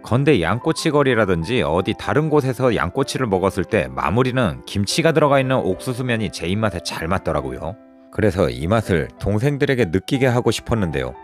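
A man narrating a restaurant review vlog in a lively, descriptive way, recorded in a busy barbecue restaurant. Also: fairly low pitch, fairly quick, soft background music. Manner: lively, descriptive, chatty with friends. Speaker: food vlogger.

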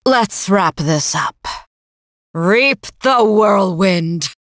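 Sorceress, game character, confident, menacing, very slow, dry recording. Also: female voice, medium pitch, animated, dry recording. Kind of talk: video game character voice acting.

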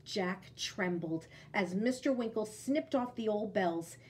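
A woman in her thirties reading a storybook aloud to children with an expressive delivery. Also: mid-range voice, slow pace, slight background noise. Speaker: storybook reader.

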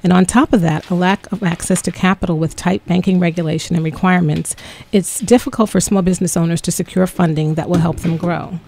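Female radio talk host, lower-pitched voice, chatty manner with frequent ums. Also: steady tone, a little fast, vocal fry, a little mellow. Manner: chatty, many ums, reads stats aloud. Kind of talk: radio interview. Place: radio studio.

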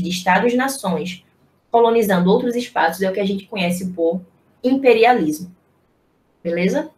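A young Brazilian woman giving an informal, explanatory online history lecture, posing rhetorical questions as she teaches over a video call. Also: mid-range voice. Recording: echoey room on video-call microphone.

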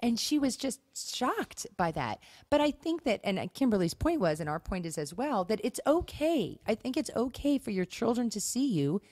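A woman's voice: a little high, big pitch swings, normal speed, hardly any pauses, darkish tone, no room sound, clean studio sound. A middle-aged American woman co-hosting a podcast in casual conversation, chatty and emphatic, repeating words for stress. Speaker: podcast co-host.

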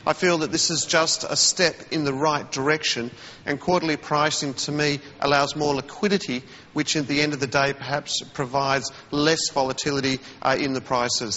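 A man being interviewed as a commodities analyst on live TV news, talking in a measured way and murmuring agreement while others speak. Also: mid-range voice, bright, forceful tone, long reverb tail, muffled recording, a little hiss or hum. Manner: measured, with frequent murmured acknowledgments. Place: remote TV studio.

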